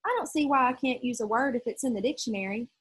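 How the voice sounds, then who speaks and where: A woman's voice: a little high, quick pace, husky. A woman voicing an earnest, self-justifying fifth-grade boy in a storybook. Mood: defiant, matter-of-fact.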